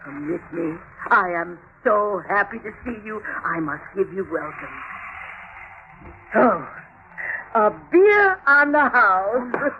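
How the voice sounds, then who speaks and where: An actress playing a big, boisterous German beer-hall wife, gushing and teasing, in a 1950s radio drama. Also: female voice, mid-range voice, sing-song, slow. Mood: gushing, hearty. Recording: muffled 1950s radio broadcast, faint hiss.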